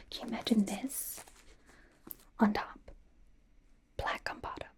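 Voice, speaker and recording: A woman playing a bubbly, fawning young female K-pop idol stylist in a soft, breathy ASMR roleplay. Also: a little high, rough recording. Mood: admiring, gushing. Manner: gushing, casual, slow, with repeated soft reassurances. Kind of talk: whispered ASMR roleplay, personal attention.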